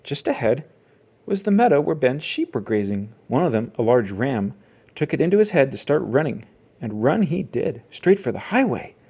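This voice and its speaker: Children's read-aloud narrator, a parent, expressive delivery with animated, medium pitch. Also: moderate pace, slightly rough. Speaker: storybook reader, parent.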